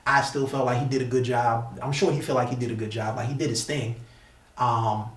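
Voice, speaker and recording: A man's voice: medium pitch, fairly even intonation, fairly quick. Echoey, slangy man telling stories. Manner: slangy, repetitive, emphatic. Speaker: interview guest, battle rapper. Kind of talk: casual storytelling in an interview. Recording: echoey room, distant mic.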